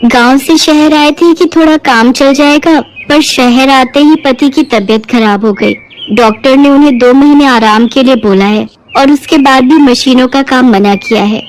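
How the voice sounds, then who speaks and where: A woman's voice: high-pitched, normal speed, slight background noise, pitched above the performer's own voice, lightly acted, poor audio. A woman voicing a humble, earnest village knitter who speaks politely and modestly in a Hindi moral-story cartoon. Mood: earnest, worried.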